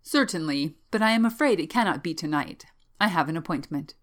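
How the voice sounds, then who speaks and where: A woman's voice: low-pitched, lively intonation, a little fast. A secretive young male student from an audiobook, played by a woman. He sounds nervous and evasive, offering flustered, clipped explanations. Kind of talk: audiobook character voice.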